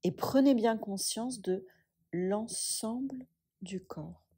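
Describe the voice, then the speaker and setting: Soft hushed lady leading a guided meditation, really unhurried, airy mellow voice, lots of pauses. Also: on the high side, breathy edge. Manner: soft, soothing, measured instruction with long pauses. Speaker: yoga nidra instructor.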